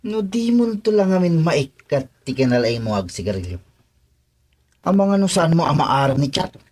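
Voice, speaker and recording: Casting: needling gang henchman, Ilocano accent, cocky, slightly high male voice, radio serial. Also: sing-song, normal speed, a little mellow. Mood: teasing. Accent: Filipino, Ilocano. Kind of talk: Ilocano radio drama acting.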